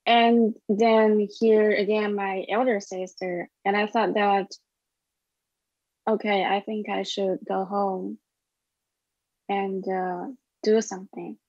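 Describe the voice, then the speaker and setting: A woman in her thirties from China, a documentary filmmaker answering interview questions in a halting way with many fillers and restarts, recorded over a webcam with earbuds in a small room. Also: mid-range voice, little pitch movement, dry recording. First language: Chinese. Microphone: wireless earbuds at a webcam. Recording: dull, dry webcam audio.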